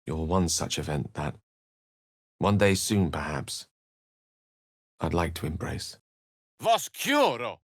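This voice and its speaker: An actor playing a learned, wry wizard adventurer in a video game, with a British accent and an eloquent, theatrical way of talking. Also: male voice, low voice, wildly swooping pitch, takes it slowly, long pauses, no room sound, studio quality, heavily acted. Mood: earnest, grave. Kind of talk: video game character voice acting.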